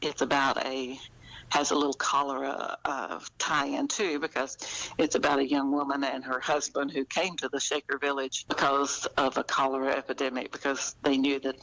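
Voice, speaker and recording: Older female author, noticeable vocal fry, thoughtful conversational delivery with pauses. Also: very deep voice, flat delivery, fairly bright tone, low-quality recording. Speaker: author being interviewed. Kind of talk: conversational interview.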